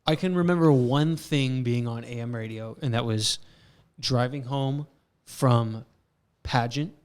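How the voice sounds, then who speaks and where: A man's voice: mid-range voice, measured pace, darkish tone, clear, resonant tone. Rambling young man on a podcast. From South Florida. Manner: rambling, lots of "like" fillers. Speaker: podcast co-host. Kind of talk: casual chat.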